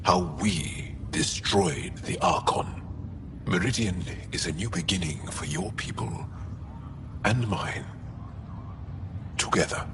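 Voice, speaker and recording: A man playing a grateful, gently wry alien warrior ally in a video game cutscene. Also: low-pitched, takes it slowly, pauses often. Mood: solemn, hopeful. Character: non-human humanoid alien. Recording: noisy ambience behind the voice.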